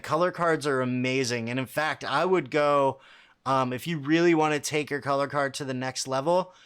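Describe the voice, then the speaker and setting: A young man with a fairly low, bassy voice, hosting a casual livestream and answering chat questions in a halting, filler-heavy way. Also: fairly bright tone, clear, resonant tone. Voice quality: fairly low voice with a lot of bass.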